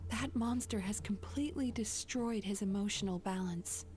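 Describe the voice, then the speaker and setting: An actress playing a calm, resolute young woman, a gentle nightmare healer in a video game, speaking in a composed, earnest way. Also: medium pitch, moderate pace, a little hiss or hum, close to an everyday voice. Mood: grave.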